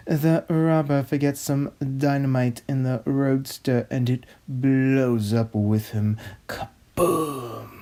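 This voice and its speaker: A man's voice: mid-range voice, dark tone. A man playing a pompous, fussy narrator who reads out a script theatrically. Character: snobby, fussy male narrator, a pompous connoisseur. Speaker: narrator host.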